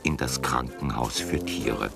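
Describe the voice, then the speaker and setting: A man's voice: extremely low pitch, a little slow, creaky, over loud music. A man narrating a scripted children's story as the storyteller.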